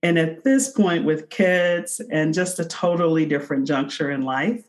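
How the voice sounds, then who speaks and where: A woman's voice: low-pitched, moderate pace. A woman in her forties answering interview questions as a podcast guest, explaining things earnestly in a conversational way. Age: 40s. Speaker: podcast guest, company founder.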